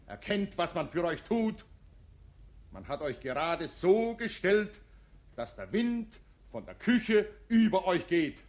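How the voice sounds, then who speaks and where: A blustering, sly village schoolmaster in a 1950s radio play. He sounds a little tipsy and hectors his peasants in a fairly high voice. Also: big pitch swings, slow, darkish tone, heavily acted. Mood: hectoring.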